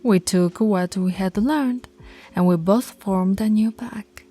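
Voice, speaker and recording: A dominant she-wolf pack leader, acted by a woman for an intimate audio roleplay. She speaks slowly and deliberately, with a breathy, husky tone that is firm but fond. Soft music plays behind her. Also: medium pitch. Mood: stern, commanding. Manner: slow, deliberate, commanding. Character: dominant female alpha werewolf, stern yet teasingly affectionate. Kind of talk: intimate ASMR roleplay voice acting.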